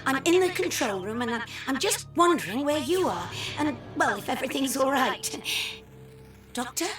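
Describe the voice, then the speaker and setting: An actress playing a frightened, stranded young companion in an audio drama, speaking in hesitant, anxious phrases. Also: female voice, high voice, lively intonation, moderate pace, fairly bright tone. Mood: anxious, searching. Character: frightened, stranded young woman companion, nervous but resolute. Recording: echoey produced audio drama with music.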